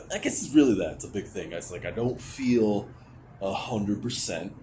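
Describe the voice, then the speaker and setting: A young man with a deep voice venting to his camera, hesitant and rambling, anxious, with casual swearing and sighs. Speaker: vlogger. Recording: noticeable background hiss.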